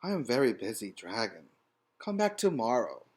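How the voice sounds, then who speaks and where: A man's voice: medium pitch, big pitch swings, normal speed, darkish tone, breathy edge, pitched below the performer's own voice. A man voicing a boastful, dismissive dragon who loves eating princesses, in a pompous manner for a children's storybook. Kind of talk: storybook read-aloud for young children.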